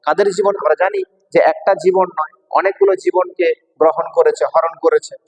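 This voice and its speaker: A man's voice: a little high, fairly even intonation, fairly quick. A man with an Indian Bengali accent from West Bengal teaching a lesson, repeating and translating phrases in a didactic way. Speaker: English teacher. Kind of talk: bilingual exam lesson, explaining line by line. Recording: long hall-like reverb.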